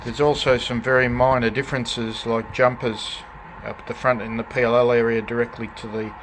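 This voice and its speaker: A man with an Australian accent talks through a piece of restoration work in a casual show-and-tell way. His phrasing is hesitant and comes in short pieces, at an ordinary middle pitch. There is noticeable background noise on the recording. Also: flat delivery, brisk, rough recording. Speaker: radio restorer presenting own collection. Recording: clear background noise, hobby video.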